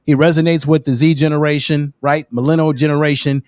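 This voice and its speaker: A man's voice: a little high, flat delivery, a little fast, darkish tone, dry recording, studio quality. A man in his fifties making emphatic points in a casual panel discussion over a video call. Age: 50s. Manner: emphatic, preachy, building points. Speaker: co-host.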